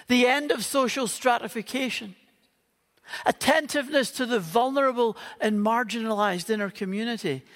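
Northern irish guy preaching, pretty high voice, big echoey hall. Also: a bit bright. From Northern Ireland. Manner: earnest, with playful humorous asides. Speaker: pastor. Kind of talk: Christmas Eve sermon. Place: church auditorium.

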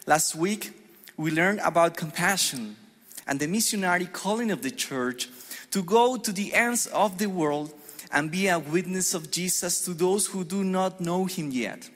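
A middle-aged man from Colombia with a Colombian Spanish accent, preaching a sermon in an earnest, measured way. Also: high-pitched, fairly bright tone. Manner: earnest, measured preaching with deliberate pauses on scripture. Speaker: pastor. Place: church hall. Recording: long hall reverb.

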